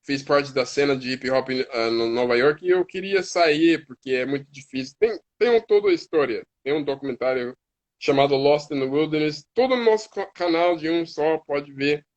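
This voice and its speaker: A man's voice: on the high side, expressive, lo-fi sound. A man with an American accent, a native English speaker, talking as a guest on a live stream and often restarting words as he searches for them. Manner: halting, restarts words while searching in Portuguese. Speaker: guest, hip hop artist. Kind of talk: casual live-stream conversation.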